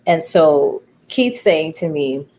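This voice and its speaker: A woman playwright speaking as an interview guest, telling her story in a candid, thoughtful, halting way. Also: sits low, animated, darkish tone, a little hiss or hum. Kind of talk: reflective conversational storytelling.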